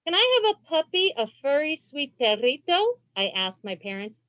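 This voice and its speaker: A woman reading a children's storybook aloud as host, phrasing expressively and deliberately for young listeners on a video call. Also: high voice, sing-song, fairly bright tone, no echo. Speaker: librarian and read-aloud host.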